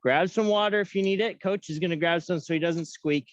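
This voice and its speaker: A young man coaching a workout class, counting reps and giving upbeat cues with joking asides in a high-pitched voice. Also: a little fast, no room sound, dull audio. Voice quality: self-described high-pitched voice. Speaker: fitness coach. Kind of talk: guiding an online workout class.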